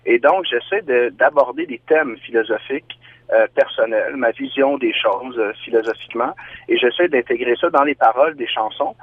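A man from Quebec with a Québécois accent, a singer-songwriter guest giving thoughtful, measured answers in an interview. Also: mid-range voice. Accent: Québécois French. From Quebec, Canada. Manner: thoughtful, measured, explaining ideas at length. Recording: tinny dry phone line.

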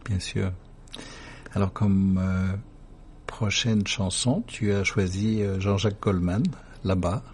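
A man's voice: low-pitched, fairly even intonation, takes it slowly, darkish tone, slight background noise. A man hosting a radio interview, friendly and joking, with short encouraging replies. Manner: friendly, jokey, short back-channel replies. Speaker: radio host.

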